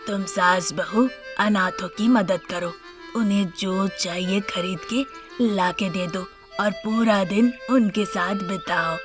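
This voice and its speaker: A woman voicing a gentle, helpful older father-in-law in a measured manner, for a family story. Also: medium pitch, music in the background, pitched below the performer's own voice, close to an everyday voice. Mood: suggesting.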